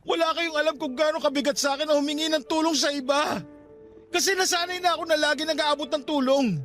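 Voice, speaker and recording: A bitter grown son in a radio drama, really high voice, talks fast, defensive. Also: male voice, steady tone, a bit bright. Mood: anguished. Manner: defensive, emotional. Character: defensive, burdened grown son begging on the streets. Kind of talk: Tagalog radio drama acting. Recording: music playing behind the voice.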